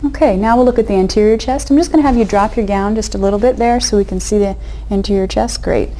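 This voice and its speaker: A woman clinician calmly giving step-by-step instructions to a patient during a demonstration of a physical exam. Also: medium pitch, quick pace, rough recording. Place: exam room, recorded for video. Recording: clear background noise.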